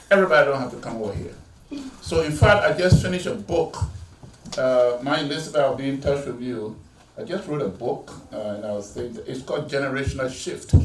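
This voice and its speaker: A middle-aged man, a pastor giving a conversational speech at a graduation ceremony, with many fillers and restarts. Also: medium pitch. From Liberia. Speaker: guest speaker, pastor. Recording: echoey hall from far off, faint noise.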